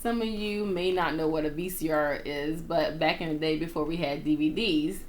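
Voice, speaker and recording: A woman reading a children's story aloud in an expressive, playful, dramatic manner, with casual asides. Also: sits low, moderate pace, a little hiss or hum. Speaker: storytime reader.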